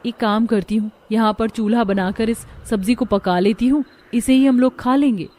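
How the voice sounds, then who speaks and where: A woman's voice: fairly high pitch, fairly even intonation, moderate pace, mellow, close to an everyday voice. Woman as an earnest, humble young daughter-in-law. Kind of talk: Hindi cartoon story character.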